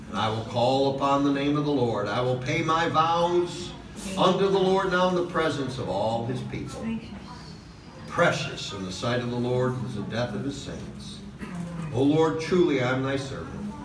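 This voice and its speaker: A middle-aged man preaching a sermon from a podium in a large church hall, passionate, with "okay?" asides and slow emphatic lines. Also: mid-range voice. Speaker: pastor. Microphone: far camera in the hall. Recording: echoey hall recorded from a distance with noise.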